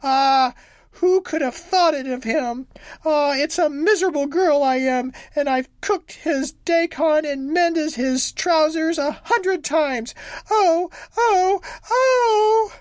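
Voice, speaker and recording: A woman voicing a fiery, jealous young wife who wails, sobs and scolds in a high, swooping voice. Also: sing-song, normal speed, no room sound. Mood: wailing. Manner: shrill, sobbing and wailing.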